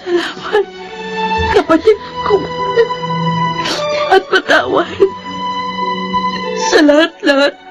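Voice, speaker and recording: A woman playing an emotive young elder sister and daughter in a Filipino radio drama, with drawn-out delivery and a Filipino accent. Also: extremely high pitch, music in the background, full character voice. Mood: tearful, remorseful.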